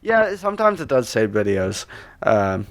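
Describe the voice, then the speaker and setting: A man's voice: medium pitch, very animated, measured pace. A man co-hosting a casual comedy podcast, rambling and sweary, speaking close to the microphone. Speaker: podcast co-host.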